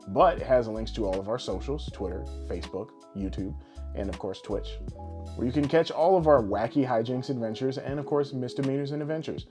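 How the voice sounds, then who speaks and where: A man co-hosting a podcast with casual, jokey, rambling showman patter. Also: mid-range voice. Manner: boisterous, rambling, tongue-in-cheek showman patter. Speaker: podcast co-host.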